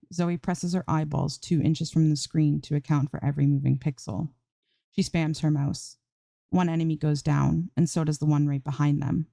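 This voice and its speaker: A woman's voice: deep voice, gravelly, warm tone, dry recording. A woman narrating a scripted piece of fan fiction as an amateur story reader.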